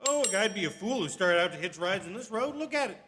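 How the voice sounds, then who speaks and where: An easygoing, folksy gas station attendant acted by a man chats in a high, bright voice. It is radio drama dialogue in a reverberant theatre, with faint noise underneath. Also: expressive, fast talker. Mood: amused, folksy. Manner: chatty, folksy, colloquial. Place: stage of a theatre.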